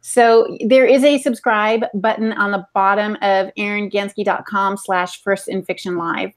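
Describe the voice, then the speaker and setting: A woman's voice: mid-range voice, fairly even intonation, measured pace. A woman in her forties co-hosting a podcast, chatting casually and giving practical information. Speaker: podcast co-host. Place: home room, indoors.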